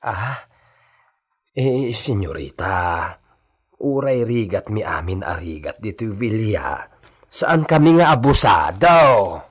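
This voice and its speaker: A man's voice: fairly low pitch, very animated, takes it slowly, slightly rough, full character voice. A man with a Filipino accent playing a timid old villa servant in a comic radio drama, stammering hesitantly. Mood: pleading.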